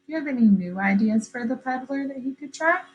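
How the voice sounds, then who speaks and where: A woman teacher reading a story aloud to young children, expressive and questioning, repeating phrases for emphasis. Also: sits high, a little fast, highs cut off.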